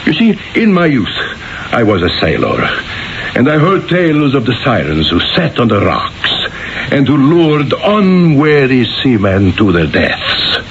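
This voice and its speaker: An actor playing a proud, jealous and flustered Italian merchant husband in a radio drama, with a halting, stammering delivery. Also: male voice, medium pitch, huge pitch swings. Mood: haunted, fervent. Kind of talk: 1970s radio drama acting. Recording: dull lo-fi radio recording, faint noise.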